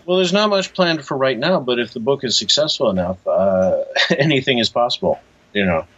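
A man answering casually as an interview guest, hesitant, low-key and matter-of-fact. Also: expressive, moderate pace, fairly bright tone, breathy edge, muffled recording. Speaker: interview guest, comics creator.

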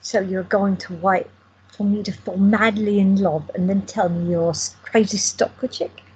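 A woman's voice: mid-range voice, fairly even intonation, moderate pace, darkish tone, slightly rough, lo-fi sound. A woman playing a teasing, affectionate young woman in an audio drama. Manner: playful, teasing.

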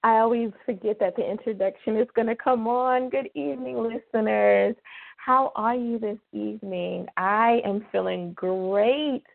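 Slightly hoarse woman on a phone line. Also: fairly high pitch, fairly even intonation. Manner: chatty, drawn-out emphasis on key words. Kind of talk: casual devotional radio talk. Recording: phone-line call-in radio.

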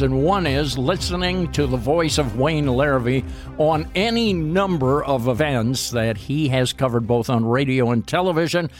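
A man hosting a radio sports program, asking measured, easygoing questions. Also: medium pitch. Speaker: radio sports show host.

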